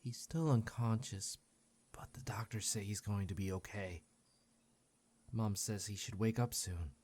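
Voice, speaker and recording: A man voicing an earnest, worried young son in a visual novel, soft and sincere. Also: on the low side, measured pace. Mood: subdued. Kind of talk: amateur visual novel voice acting.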